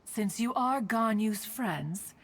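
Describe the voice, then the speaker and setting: A woman's voice: a little high, expressive, slow, full character voice. A woman playing an ancient, proud female spirit in a video game, speaking in formal archaic English and calling herself "one". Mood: fond, teasing. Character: ancient proud adeptus spirit, fond teasing female elder. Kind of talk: scripted video game dialogue. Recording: music behind the voice.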